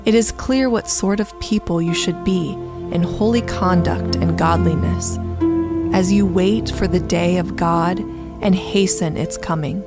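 Slow-paced woman reading scripture over music. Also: on the low side. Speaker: scripture reader. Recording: soft new-age music underneath. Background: instrumental new-age music.

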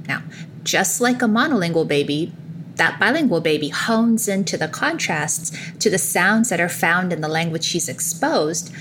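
A woman's voice: lowish voice, a bit bright, a little hiss or hum. A woman researcher answering interview questions. She explains things fluently and often opens points with "now" and small fillers.

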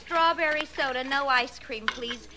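A woman's voice: high-pitched, moderate pace, over a noisy crowd, lightly acted. A woman playing a polite, perky young lunch-counter customer in a 1940s radio drama. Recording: old radio, busy clattering luncheonette behind.

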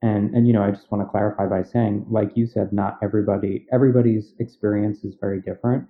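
A man co-hosting a podcast who speaks in a measured, reflective way. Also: fairly low pitch, flat delivery. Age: adult. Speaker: podcast co-host, therapist. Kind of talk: casual conversation. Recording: tinny phone-like audio.

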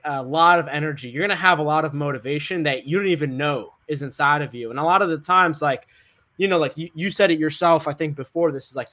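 A man's voice: a little high, a bit bright. A young American man, a guest author, talking casually and upbeat in an interview, peppering his sentences with "like". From United States.

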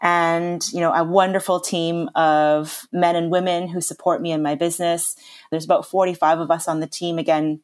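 A woman in her thirties with a Canadian accent, a chef talking through her career in an upbeat, chatty way with many fillers. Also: deep voice. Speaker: chef and lecturer, podcast guest. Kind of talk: conversational podcast interview.

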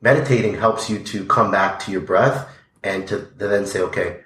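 A man's voice: on the low side, moderate pace, fairly bright tone, roomy sound. A man speaking as a podcast guest, earnest and reflective, with lots of "like" and "you know". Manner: earnest, filler-heavy, reflective. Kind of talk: casual interview conversation.

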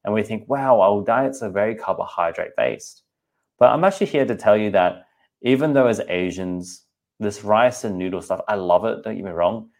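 A man in his thirties with an Australian accent and a low-pitched voice. He hosts a podcast in a casual, candid way and speaks directly to his listeners. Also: moderate pace, darkish tone. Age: 30s. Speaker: podcast host and personal trainer.

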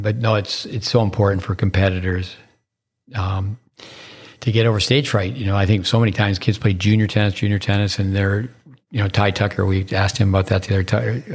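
Husky, gravelly podcast host, rambling. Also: male voice, sits low. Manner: rambling, repetitive, stumbling restarts. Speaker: podcast host and tennis coach. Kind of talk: casual interview chat.

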